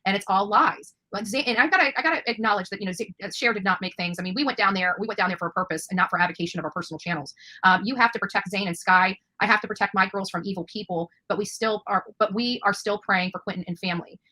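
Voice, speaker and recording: A woman's voice: mid-range voice, quick pace, pushed and bright, rough recording. A woman hosting an online interview in a chatty, emphatic and opinionated way. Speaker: interviewer and online show host. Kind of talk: chatty interview, reading out text messages.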